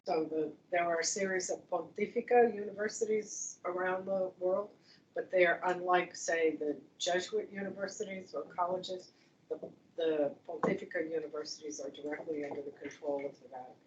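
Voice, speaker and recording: A woman speaks in a fairly low voice, musing aloud and restarting her sentences as she goes. She sits far from the microphone, so the sound comes back roomy and echoing. The audio is dull, with a faint room hiss. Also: fairly even intonation, normal speed. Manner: rambling, thinking aloud with many restarts. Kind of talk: casual discussion. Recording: distant echoey room mic, dull sound.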